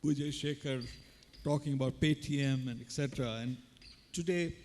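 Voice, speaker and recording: A man's voice: medium pitch, a little mellow, slight background noise. Hesitant man moderating in a hall. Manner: halting, many fillers and restarts. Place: conference hall. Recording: reverberant conference hall.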